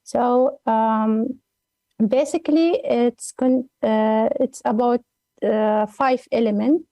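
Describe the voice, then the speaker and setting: Emirati woman explaining slowly, mellow and dry. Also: on the high side, no room sound. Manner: careful, methodical, many fillers. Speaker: guest, executive coach. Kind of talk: explaining a concept in an interview.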